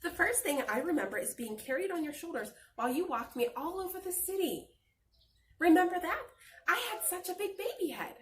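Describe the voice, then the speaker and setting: A woman voicing an excited, curious and affectionate young son in a picture-book read-aloud. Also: extremely high pitch, brisk, pitched above the performer's own voice. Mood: eager, fond.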